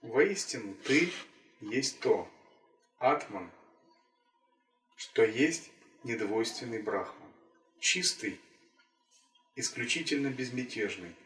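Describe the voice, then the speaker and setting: A man giving a calm, measured spiritual talk as a teacher, repeating key phrases. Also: medium pitch. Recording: roomy, distant from the mic.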